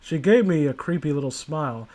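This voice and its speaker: High-pitched irreverent man riffing as he reads. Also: lively intonation, fairly quick, mellow. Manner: irreverent, mocking, breaks into laughter while reading. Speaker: host reading a creepypasta aloud with friends. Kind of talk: comedic read-along with improvised riffing.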